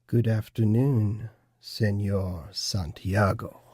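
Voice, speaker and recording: A man playing a cold, formal villainous interrogator, slow and deliberate in an audiobook drama. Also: fairly low pitch, steady tone, dark tone, slightly rough, no room sound, clean studio sound. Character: menacing male Illuminati interrogator, formal and cold. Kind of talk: full-cast audiobook acting.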